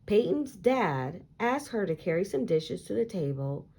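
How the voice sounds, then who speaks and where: A woman reading a children's story aloud in an expressive, deliberate storytelling style. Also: mid-range voice, husky. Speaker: picture book read-aloud reader.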